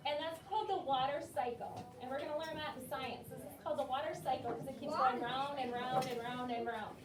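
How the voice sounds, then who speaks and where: A woman's voice: high voice, fairly even intonation, brisk, crowd noise. A woman teaching young children in class, putting simple questions to them and repeating their answers encouragingly. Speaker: elementary school teacher leading a class. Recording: roomy, distant classroom recording with clear noise.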